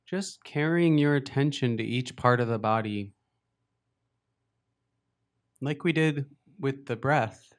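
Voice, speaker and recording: A man leading a beginners' body scan meditation, speaking slowly and gently with long pauses. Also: mid-range voice. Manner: slow, gentle, unhurried guidance with long pauses. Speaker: meditation teacher. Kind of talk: guided meditation.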